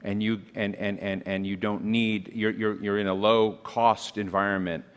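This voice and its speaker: Lowish voiced guy moderating a panel, chatty, lots of restarts, big echoey hall. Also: flat delivery, normal speed, clean tone. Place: conference hall. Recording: reverberant hall.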